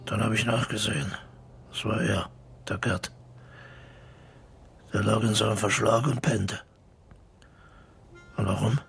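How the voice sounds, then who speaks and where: Gravelly, gruff old drinker, low-voiced. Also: male voice, fairly even intonation, unhurried, long pauses, a bit bright, a little hiss or hum, exaggerated voice. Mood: brooding, reminiscing. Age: older. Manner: blunt, colloquial, terse fragments. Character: gruff, bitter old widowed drinker defending his friend. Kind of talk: naturalistic radio drama dialogue.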